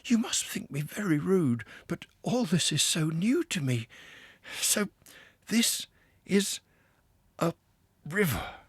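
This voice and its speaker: A man's voice: fairly high pitch, very animated, takes it slowly, pauses often, husky, no room sound, pitched above the performer's own voice, heavily acted. A man voicing a timid, wondering young male mole who asks eager, nervous questions.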